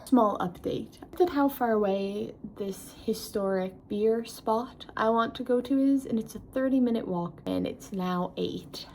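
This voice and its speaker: A woman's voice: fairly high pitch, unhurried, hardly any pauses, a little mellow, a little hiss or hum, low-quality recording. A woman in her twenties chatting casually to camera as a travel vlogger, self-mocking and tired at day's end. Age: 20s. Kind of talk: casual vlog chat to camera.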